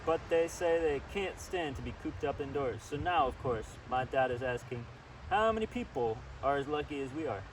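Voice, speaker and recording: A man's voice: a little high, normal speed. A man reading a story aloud informally and chattily, with asides of his own, recorded outdoors. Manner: chatty, easily sidetracked, commenting as he reads. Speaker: storybook reader. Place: outdoors by a river. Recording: distant outdoor mic with steady background noise.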